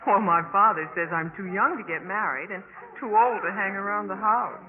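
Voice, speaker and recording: A woman's voice: mid-range voice, moderate pace, a crowd in the background, natural voice. An actress playing an eager, naive college freshman girl, politely flustered, in 1950s radio drama style. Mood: guileless. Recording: echoey phone-like 1950s radio recording, audience.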